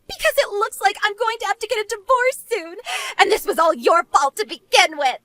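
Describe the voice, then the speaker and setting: A woman's voice: very high-pitched, expressive, fairly quick, sharp tone, exaggerated voice, poor audio. A woman playing a spoiled, arrogant banker's wife in melodramatic scripted story dialogue, desperate and whiny. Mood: desperate pleading. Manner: whiny, haughty, pleading.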